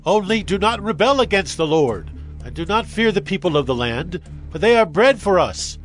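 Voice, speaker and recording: Confident Israelite scout, declamatory and zealous, high voice over soft music, audio Bible. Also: male voice, very animated, normal speed, rough recording. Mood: urgent exhorting. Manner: declamatory, exhorting. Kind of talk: dramatized audio Bible acting.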